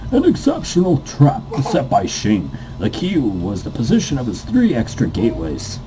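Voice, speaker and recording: A man voicing an excitable, pompous male esports commentator from a visual novel, speaking in an emphatic, declamatory way. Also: mid-range voice, moderate pace, background noise. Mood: impressed, analytical.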